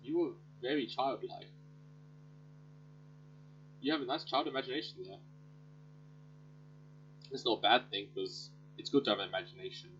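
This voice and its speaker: A man's voice: medium pitch, moderate pace, long pauses, a bit bright, a little hiss or hum. A man giving casual, chatty, offhand let's play commentary as he reacts to a game. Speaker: gaming video host. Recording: dull lo-fi mic, game music behind.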